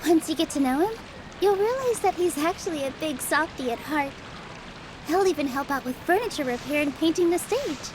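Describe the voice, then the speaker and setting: A woman playing a gentle, polite, earnest young female theater dancer in a video game. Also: high-pitched, expressive, a little fast, noisy background. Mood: grateful. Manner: polite, soft-hearted, earnest.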